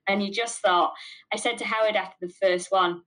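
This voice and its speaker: A woman's voice: mid-range voice, fairly even intonation, brisk, sharp tone, low-quality recording. A middle-aged woman with a broad Mancunian accent speaks in an interview about running her company. She talks frankly and with emphasis, hammering points home by repeating words. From north of England. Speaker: business owner being interviewed. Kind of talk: candid interview answers.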